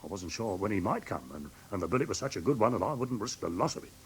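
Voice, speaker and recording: An actor playing a fussy, aggrieved, simple-minded London shopkeeper with an English accent in a radio drama, halting and deferential as he talks. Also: on the low side, big pitch swings, slight background noise. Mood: plaintive. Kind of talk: classic British radio drama acting.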